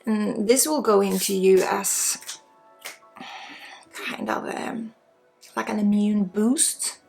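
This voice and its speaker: Slow, creaky Swedish woman doing ASMR. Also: mid-range voice. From Sweden. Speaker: spiritual guide, energy healer. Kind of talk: ASMR spiritual healing session, unscripted. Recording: soft music under the voice. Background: soft music.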